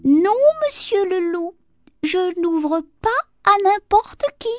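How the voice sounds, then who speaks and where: A woman's voice: extremely high pitch, slow, dry recording, pitched above the performer's own voice. A woman voicing a cheeky, defiant little boy pig in a storybook tale. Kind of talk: storybook character voice.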